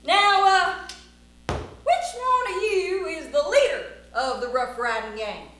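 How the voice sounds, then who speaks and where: Plucky boastful cowboy acted by a woman, folksy Western drawl, bright and swooping, for a staged children's tall tale. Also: high voice, animated, normal speed, pitched above the performer's own voice. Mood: adventurous. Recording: very echoey room, faint noise.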